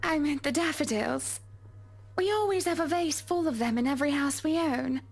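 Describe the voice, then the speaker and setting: A poised high-voiced wealthy wife. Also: female voice, vocal fry. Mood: polite. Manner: gracious, measured.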